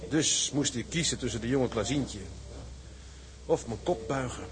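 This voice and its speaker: An actor playing a sturdy, ambitious first mate on a sea tug in a Dutch radio drama, hearty and conversational. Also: male voice, mid-range voice, unhurried, crowd noise, close to an everyday voice. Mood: bitter, brooding.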